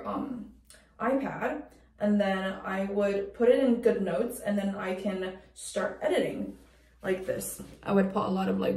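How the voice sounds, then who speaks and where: A woman's voice: medium pitch, a little mellow, distant mic. Young woman vlogging in an echoey bathroom, native Cantonese speaker. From Canada, moved there while growing up. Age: young adult. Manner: chatty, informal. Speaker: TV host and vlogger. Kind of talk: casual vlog chat. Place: small home washroom, indoors.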